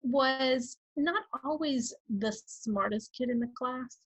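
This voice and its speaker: A middle-aged woman with a Georgia Southern accent, chatting as an interview guest over a video call, with quick asides and laughter. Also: sits high, husky, no echo.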